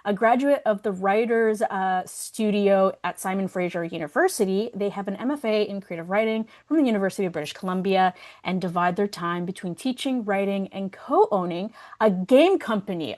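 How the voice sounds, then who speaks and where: Woman hosting, middle-pitched and enthusiastic. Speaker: webinar host introducing a speaker. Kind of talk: informal event introduction with read-out biography.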